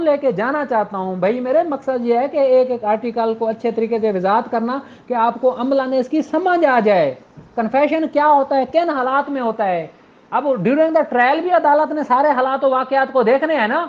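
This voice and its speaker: A middle-aged man with a Pakistani accent giving an informal, explanatory law lecture in a conversational, familiar way. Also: extremely high pitch. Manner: conversational, addresses listeners as his children and siblings. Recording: dull lo-fi audio.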